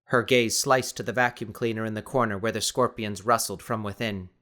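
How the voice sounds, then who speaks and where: Measured male audiobook narrator. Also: on the low side, steady tone, fairly bright tone. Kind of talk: scripted dramatic fiction narration.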